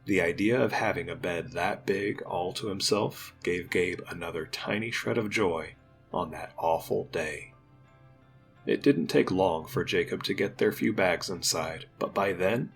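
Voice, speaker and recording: A man narrates a dramatic audio story from a script. His voice sits on the low side with plenty of fry in it. Soft music runs behind the narration.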